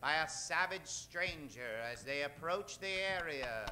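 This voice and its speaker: A man hosting and announcing in theatrical old-time radio style, dramatic, drawn-out and emphatic. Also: on the high side, lively intonation, bright, forceful tone, faint music behind. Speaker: host and announcer.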